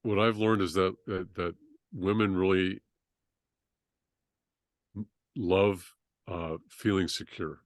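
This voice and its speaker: A middle-aged man with a deep, low voice talks through his story in a podcast interview. He speaks haltingly, restarting sentences and filling pauses as he goes. The recording is close and dry. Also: fairly even intonation, dry recording. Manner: halting, many restarts and fillers. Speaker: trauma surgeon guest.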